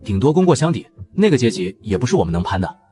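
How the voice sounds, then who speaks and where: A man's voice: mid-range voice, very animated, quick pace, warm tone, lightly acted. A man acting a brash, teasing young man in an audio drama. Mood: matter-of-fact.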